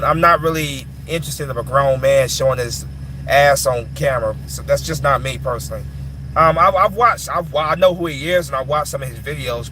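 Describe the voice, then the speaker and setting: A man's voice: mid-range voice, pushed and bright, a little hiss or hum, rough recording. A man in his twenties answering chat questions in casual talk, often repeating and restarting his words. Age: 20s. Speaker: live stream host answering chat.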